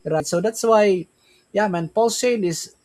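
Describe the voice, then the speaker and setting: A man's voice: high-pitched, measured pace, a little mellow. A young man with a Filipino accent, a Tagalog speaker, hosting a casual crypto livestream, rambling with many restarts and tag questions. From Philippines. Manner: rambling, repeats "right?" and "yeah, man", frequent restarts. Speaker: crypto stream host.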